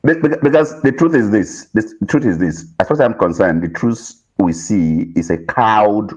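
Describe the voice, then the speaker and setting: A man with a Nigerian accent giving political commentary as a panel guest, emphatic and deliberate, repeating phrases for weight, on muffled audio. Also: lowish voice, expressive, breathy edge.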